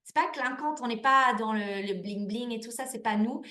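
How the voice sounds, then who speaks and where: A woman's voice: on the high side, moderate pace, pushed and bright. Chatty woman guest in an echoey room. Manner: chatty, many restarts and fillers. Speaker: network marketing manager, guest. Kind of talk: candid interview answers.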